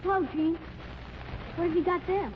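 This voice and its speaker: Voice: very high-pitched, steady tone, moderate pace. A young performer playing a bored, blunt little boy in a 1940s radio comedy. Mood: blasé. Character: wisecracking, bored little boy, spoiled by presents. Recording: noisy, muffled 1940s radio recording.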